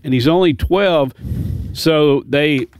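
A man's voice, smooth and fairly high, sits close and dry in a studio. He co-hosts a call-in radio show and rambles through jokey asides and stories. The talk stays loose and chatty. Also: slow, dry recording. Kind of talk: casual radio banter.

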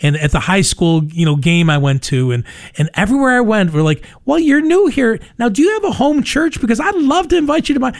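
A middle-aged man with a softened New York accent, telling stories in an animated, casual conversational way. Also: very high voice, a little fast, gravelly. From New York, United States. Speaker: ministry leader telling its story. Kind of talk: casual radio interview conversation.

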